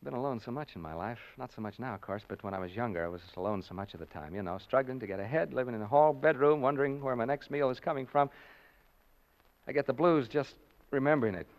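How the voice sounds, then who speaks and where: An actor playing a frightened American broadcaster unraveling in a haunted house, rambling and stammering in 1940s radio suspense style. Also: male voice, medium pitch, quick pace, lightly acted. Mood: lonely, unnerved. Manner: rambling, stammering, nervous laughter. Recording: dull, lo-fi vintage radio recording.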